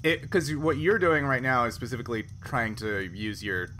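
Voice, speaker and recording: A man's voice: medium pitch, expressive, moderate pace, pushed and bright, slight background noise. A man working as game master, narrating and refereeing a tabletop game in a casual style.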